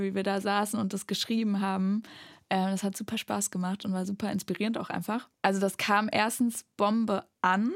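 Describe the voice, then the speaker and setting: A woman's voice: medium pitch, no room sound, clean studio sound. A woman, chatting casually and enthusiastically as an interview guest, with youthful slang and English words mixed in. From Erfurt, Germany. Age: early 20s. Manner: enthusiastic, emphatic repetition. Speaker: singer-songwriter guest.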